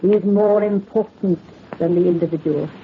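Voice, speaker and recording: A woman's voice: lowish voice, normal speed, lightly acted. A woman playing a stern, self-sacrificing middle-aged aristocrat mother in an old radio drama, with solemn archaic speech. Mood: stern urging. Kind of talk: old-time radio horror drama acting. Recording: muffled, noisy old radio recording.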